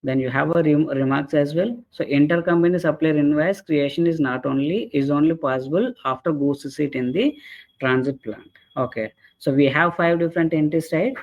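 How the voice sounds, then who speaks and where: Dull, lo-fi webinar audio carries a man with a moderately high voice. He explains software step by step like a trainer. His delivery is halting, punctuated by small tags checking that listeners follow. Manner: halting, repeatedly checks with "right?" and "okay".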